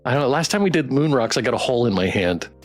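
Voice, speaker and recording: A man streaming a live game playthrough, reading the story aloud in an expressive, theatrical way and chatting with viewers. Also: mid-range voice, fast, faint music behind. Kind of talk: live playthrough narration with character read-alouds.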